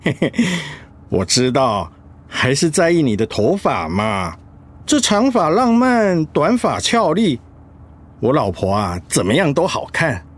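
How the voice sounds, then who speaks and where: An actor playing a devoted, worried husband in a radio drama, earnest, affectionate and soothing. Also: male voice, fairly high pitch, huge pitch swings, unhurried, heavily acted. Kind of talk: Mandarin radio drama acting.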